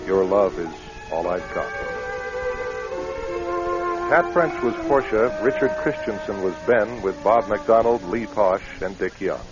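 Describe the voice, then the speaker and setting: A man's voice: fairly low pitch, unhurried, loud music behind the voice. A man giving scripted radio narration as the writer-producer of a drama. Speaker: writer-producer reading verse and closing credits. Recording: dull lo-fi radio recording.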